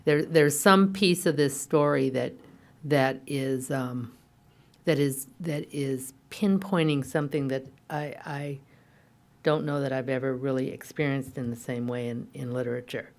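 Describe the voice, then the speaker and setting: A woman's voice: extremely low pitch, fairly even intonation, unhurried. A woman adding a spontaneous comment in a panel discussion, tentative and searching for the right words.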